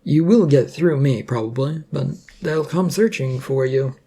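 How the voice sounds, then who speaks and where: Narrator playing a nervous, cheeky, husky underdog hero. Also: mid-range voice, unhurried, dark tone. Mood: stalling. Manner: wry, wordy, hesitant.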